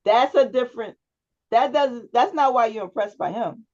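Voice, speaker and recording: A woman's voice: on the high side, normal speed, a little mellow, lo-fi sound. A woman public speaking instructor giving one-on-one feedback to a student over a video call, often checking in with "right?". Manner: coaching, checks understanding with frequent "right?".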